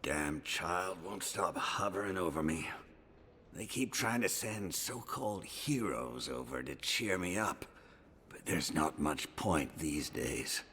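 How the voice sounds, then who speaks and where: Casting: sick old hero storyteller for fantasy game, deep and bright-edged, weary braggart. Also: male voice, lively intonation, slow pace, a little hiss or hum, exaggerated voice. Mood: grumbling. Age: older. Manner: rambling, boastful storytelling. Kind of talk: fantasy video game acting. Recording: music behind the voice.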